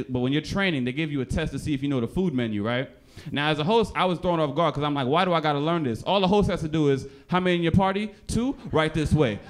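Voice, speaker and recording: A young man in his early twenties doing a stand-up comedy set on a club stage, slipping into exaggerated character voices. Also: medium pitch. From Haitian background. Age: young adult.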